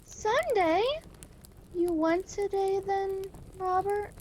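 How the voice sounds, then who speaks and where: A woman plays a tender, weary mother in a radio play, grieving gently. Her voice is very high and unhurried, halting, over faint noise on a home call recording. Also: fairly even intonation. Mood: tender, sorrowful.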